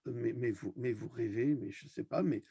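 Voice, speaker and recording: Male host, emphatic and scornful, gravelly mellow voice, dry close sound, repeats himself to drive points home. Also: medium pitch, moderate pace, no echo. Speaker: host of an online video discussion. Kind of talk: impassioned, indignant rant.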